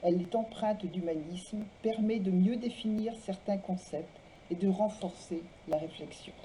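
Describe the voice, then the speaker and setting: A woman presenting and reading literary texts aloud in a measured way, phrase by phrase. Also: mid-range voice, mellow, slight background noise, poor audio. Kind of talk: literary talk, biographical introductions and read-aloud texts.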